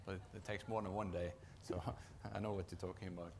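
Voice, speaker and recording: A man with a low, gravelly voice and a Norwegian accent gives a conference presentation. He speaks haltingly, often stopping to restart and repeat words. His voice is deep and creaky throughout. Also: flat delivery, a little mellow, a little hiss or hum. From Norway. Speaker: project manager presenting at a conference.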